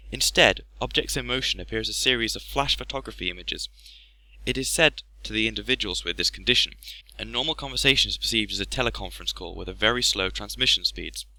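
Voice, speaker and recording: A man's voice: lowish voice, quick pace, bright, forceful tone, dry recording, poor audio. A man narrating a video with scripted explanations of terms.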